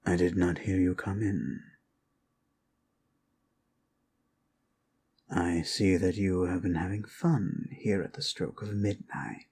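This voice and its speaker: A man voicing a courteous, rambling undead lich healer and storyteller in an ASMR fantasy roleplay, unhurried, musing and formally polite, with a gravelly, sometimes breathy voice. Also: deep voice, steady tone, a little mellow, a little hiss or hum. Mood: courteous, attentive.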